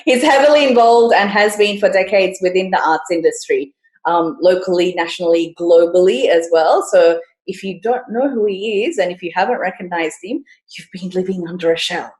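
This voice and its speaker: A woman's voice: fairly low pitch, moderate pace, darkish tone. Friendly woman host in echoey room. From Australia. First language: Sinhalese. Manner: friendly, laughs easily, playful teasing. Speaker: podcast host. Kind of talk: casual interview.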